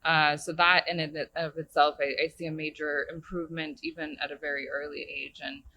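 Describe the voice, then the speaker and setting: A man's voice: on the high side, flat delivery, a little fast, sharp tone, a little hiss or hum. A man from Iran speaking on a conference panel, hesitant with stammering starts. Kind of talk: panel discussion. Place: conference stage.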